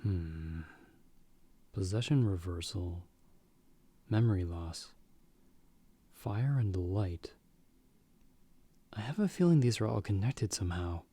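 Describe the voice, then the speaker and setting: A man playing a calm, world-weary half-vampire hunter in an audio roleplay, speaking in a measured, understated way as a protective mentor to a companion. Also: sits low, slightly rough, no room sound, heavily acted. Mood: reflective. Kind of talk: ASMR audio roleplay acting.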